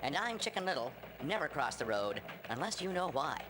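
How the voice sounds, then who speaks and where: A man's voice: on the high side, big pitch swings, brisk, music in the background. A man voicing an earnest chicken TV news anchor in a melodramatic parody newscast style for a video game. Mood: dramatic, earnest.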